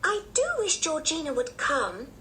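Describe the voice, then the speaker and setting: A woman's voice: sits high, big pitch swings, unhurried, bright, forceful tone, a little hiss or hum, pitched above the performer's own voice, exaggerated voice. A woman voicing a young, chatty, curious girl in an eager, polite manner for a children's storybook. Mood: wistful.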